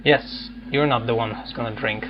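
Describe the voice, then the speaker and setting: A man doing casual game commentary, thinking aloud in halting fragments as he plays. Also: medium pitch, steady tone, dull audio, noisy background. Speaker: gaming video host playing and commenting.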